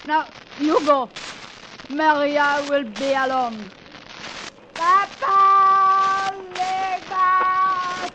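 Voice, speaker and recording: An actress playing a scornful old voodoo priestess in a radio play, declaiming in broken English with a Haitian Creole accent. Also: female voice, very high voice, steady tone, extremely slow, long pauses, highs cut off, full character voice. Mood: grudging, dismissive. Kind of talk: 1940s radio acting. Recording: noisy old radio, stormy effects.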